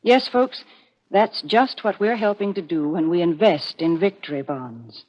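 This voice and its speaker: A woman's voice: lowish voice, lively intonation, measured pace. A woman reading sponsor messages as a 1940s radio announcer, folksy, earnest and persuasive. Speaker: sponsor announcer and show regular. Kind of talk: 1940s radio advertising read. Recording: muffled vintage 1940s radio.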